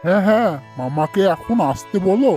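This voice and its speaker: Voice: medium pitch, animated, normal speed, warm tone, soft background music, lightly acted. An actor voicing an eager, inquisitive young village boy who loves food, in cartoon dialogue. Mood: eager, pleading.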